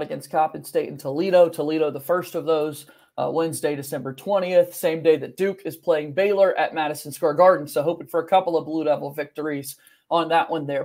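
A man's voice: a little high, a little mellow. A young man hosting a sports podcast, speaking from a small room at home. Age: young adult. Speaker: podcast host.

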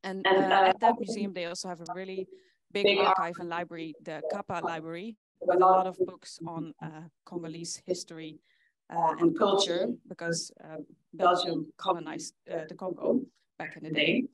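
A young woman from the Netherlands giving a conference talk in an earnest way, often pausing with fillers. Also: lowish voice, flat delivery, crowd noise, rough recording. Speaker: conference speaker. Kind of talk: presentation talk. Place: conference hall with audience.

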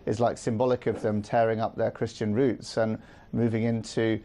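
A middle-aged man speaking as a guest in a live news interview, measured and earnest, with frequent ums. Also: fairly low pitch, flat delivery, warm tone. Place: studio with city view.

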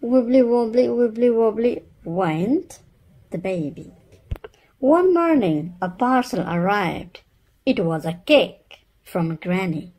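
A woman reading a children's storybook aloud in a deliberate, expressive narrating style. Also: medium pitch. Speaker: storybook reader.

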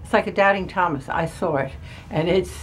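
An older woman interviewed in a small ship cabin, answering in a halting way, hunting for words and restarting. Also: lowish voice, slight background noise, poor audio. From New York area. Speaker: interviewee, cruise passenger. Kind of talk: casual chat. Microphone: handheld camera close up.

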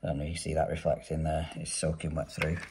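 Man, low voice, plumber, matter-of-fact, working vlog, phone mic, noisy background. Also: little pitch movement, fast. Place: customer's home and inside his van.

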